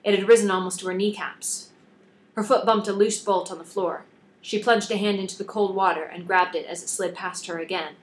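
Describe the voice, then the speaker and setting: A woman's voice: medium pitch, fairly even intonation, brisk, poor audio. A woman author reading scripted narration from her own novel, audiobook style.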